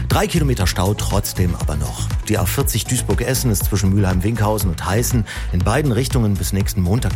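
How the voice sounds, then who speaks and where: A man presenting on the radio, switching between chatty banter and announcements. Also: on the low side. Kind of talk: lively radio chat and traffic announcements. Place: radio studio. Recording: music bed behind the voice.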